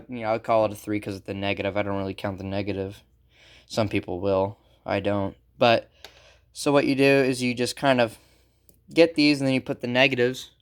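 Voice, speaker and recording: A man giving a casual, unscripted how-to as a hobbyist repairer, halting and informal as he thinks aloud. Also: medium pitch, smooth. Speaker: hobbyist electronics repair presenter.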